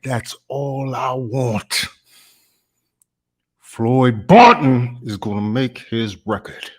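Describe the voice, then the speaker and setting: A man performing a dramatic audition monologue as a weary, yearning working man, with emphatic, drawn-out phrasing. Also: lowish voice, voice pushed up. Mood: defiant resolve. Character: weary, yearning working man hungry for warmth, dreaming big.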